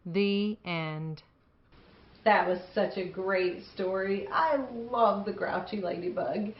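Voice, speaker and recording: A woman's voice: mid-range voice, slow, reverberant, lo-fi sound. A woman hosting a children's storytime, reading aloud expressively and chatting enthusiastically with young listeners. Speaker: children's storytime host and reader.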